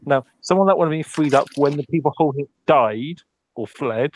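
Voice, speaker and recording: A man's voice: a little high, brisk. A man speaking in character during a tabletop roleplay, hesitant, with many ums and restarts.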